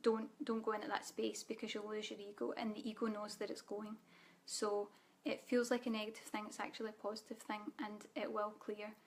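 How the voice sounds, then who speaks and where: A Scottish woman leading a live online spiritual training, speaking softly and calmly, guiding listeners with frequent ums. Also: mid-range voice, a little fast, few pauses. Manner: soft guided-meditation delivery with fillers. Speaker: spiritual teacher.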